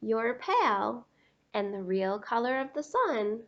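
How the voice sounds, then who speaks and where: A woman's voice: a little high, big pitch swings, measured pace, a bit bright, highs cut off, exaggerated voice. A woman voicing a scornful, smug orange crayon in a storybook, with a sneering, punchy delivery.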